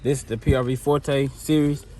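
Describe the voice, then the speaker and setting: Male vlog host, average pitch, informal and easygoing product talk, noisy background. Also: little pitch movement, normal speed, darkish tone. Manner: informal, laid-back, addresses viewers as guys and y'all. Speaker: host of car audio product video. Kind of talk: casual product demo talk. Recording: clear background noise.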